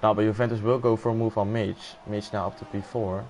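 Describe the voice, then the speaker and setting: A man's voice: lowish voice, little pitch movement, fairly quick, darkish tone, slight background noise. A man with a Dutch accent commentating a live sim racing event, exclaiming and laughing at close moves. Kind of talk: live esports race commentary.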